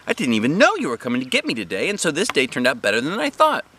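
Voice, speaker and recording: A man voicing an excitable, bossy young kindergarten girl from a storybook, gushing and repeating herself in a childlike way. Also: mid-range voice, fast, slight background noise, voice pushed up. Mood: excited, delighted.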